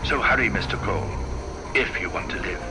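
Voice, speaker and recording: A man playing a sinister bioweapons doctor in a video game, giving formal, deliberate, urgent instructions. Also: deep voice, vocal fry, noisy background. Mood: grave warning. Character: sinister, commanding bioweapons doctor guiding a survivor. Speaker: scientist character.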